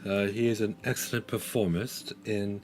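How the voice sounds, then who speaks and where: A man's voice: on the low side, fairly even intonation, normal speed, a little mellow, soft background music, natural voice. A man voicing a courteous, dignified Dragonborn diplomat in a tabletop fantasy game, speaking formally and somewhat hesitantly. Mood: courteous, measured.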